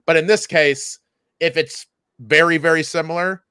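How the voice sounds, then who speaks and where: A man's voice: fairly high pitch, fairly even intonation, moderate pace, sharp tone, smooth, no room sound. A man who co-hosts a comedy podcast, chatting casually, stammering over repeated words and swearing freely. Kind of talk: casual chat.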